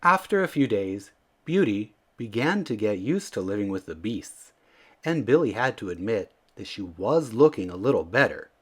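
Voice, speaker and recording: A man narrating a children's storybook aloud in an expressive, theatrical way, leaning into the emphatic words. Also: on the low side, moderate pace, breathy edge. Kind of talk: animated read-aloud narration.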